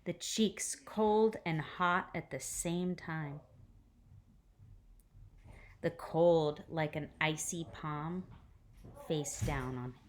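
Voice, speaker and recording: Woman, teacher, low voice, unhurried storybook reading, expressive, pausing often, softening into hush. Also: slight background noise. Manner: deliberate phrasing with pauses, dropping to hushed tones. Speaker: teacher reading a picture book aloud. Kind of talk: expressive read-aloud storytelling for children.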